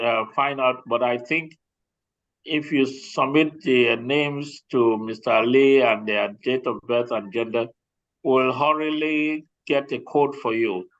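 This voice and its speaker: Halting man on a dull lo-fi call. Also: mid-range voice, a bit bright. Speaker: insurance representative. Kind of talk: informal explanatory Q&A presentation. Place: remote online group call.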